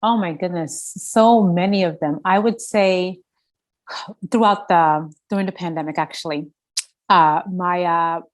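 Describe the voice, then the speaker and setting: Earnest lady founder talking on a podcast, strong voice, slightly low pitched. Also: unhurried. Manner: earnest and passionate. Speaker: fragrance founder, podcast guest. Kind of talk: podcast interview answers.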